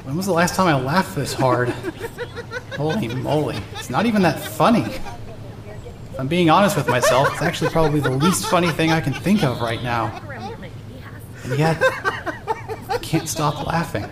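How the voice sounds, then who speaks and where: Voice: medium pitch, animated, moderate pace, long pauses, over a noisy crowd, natural voice. A performer voicing June, an overwhelmed young girl who keeps laughing and crying as she comes out in a fan audio drama. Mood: relieved laughter. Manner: laughing and crying through her words.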